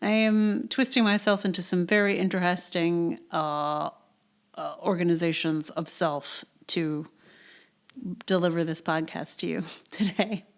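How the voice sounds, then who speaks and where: A woman's voice: lowish voice, fairly even intonation, measured pace. A woman in her forties hosting a podcast in a conversational, wry way, recorded on a travel mic in a slightly echoey house. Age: 40s. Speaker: podcast host reading own blog post. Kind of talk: casual intro, then read-aloud personal essay. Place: indoors in a sparsely cushioned house. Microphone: portable travel microphone.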